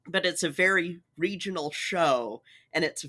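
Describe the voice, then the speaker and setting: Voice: mid-range voice, measured pace, pushed and bright. A podcast co-host in their thirties, chatting casually and agreeing with emphasis. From Utah, United States. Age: 30s.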